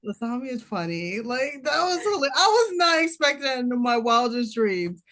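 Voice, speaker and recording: A woman's voice: on the high side, moderate pace. A woman guest chatting casually and telling stories with a lot of laughter. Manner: animated storyteller, laughing often, mimics other voices. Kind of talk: casual chat.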